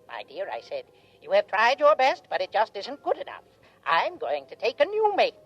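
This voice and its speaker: A woman's voice: mid-range voice, wildly swooping pitch, moderate pace, highs cut off. A woman playing a smug, gloating alien creature telling a story with theatrical self-satisfaction in a 1950s radio drama. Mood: smug, confiding. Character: smug, gloating alien husband creature recounting his wife-disposal scheme. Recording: old radio recording with music underneath.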